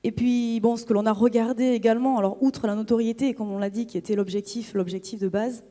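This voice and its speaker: A woman's voice: on the high side, steady tone, a little fast, dark tone. A woman presenting results as a marketing manager at a conference, explaining hesitantly and repeating words as she goes. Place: conference hall on stage. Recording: reverberant hall sound.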